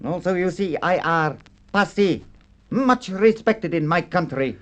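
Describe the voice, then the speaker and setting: Casting: high-voiced, protesting radio-play suspect, pompous gentleman act, fake Indian accent, stilted grammar. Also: expressive, unhurried. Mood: indignant, pompous. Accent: put-on Indian. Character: indignant, high-pitched male suspect posing as Indian gentleman. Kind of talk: radio drama acting.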